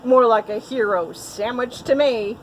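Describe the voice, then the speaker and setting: A woman voicing a big male wolf in a children's story, taunting with drawn-out words. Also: a little high, a little hiss or hum, close to an everyday voice, rough recording. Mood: mocking, menacing. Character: big menacing male wolf, mocking, later frightened.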